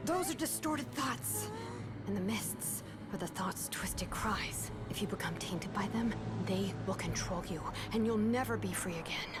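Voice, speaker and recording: A resolute young warrior voiced by a woman in a video game, grave and commanding. Her mid-pitched voice delivers solemn lines over loud music. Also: expressive, unhurried, natural voice. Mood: urgent.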